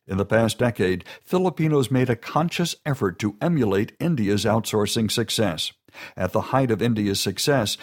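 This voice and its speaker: Man, narrator, medium pitch, steady informative read, scripted explainer.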